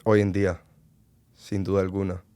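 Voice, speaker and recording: A man in his twenties from Costa Rica, a podcast guest speaking earnestly with frequent pauses and fillers. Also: deep voice, monotone, warm tone, clear, resonant tone. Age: 20s. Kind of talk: conversational interview.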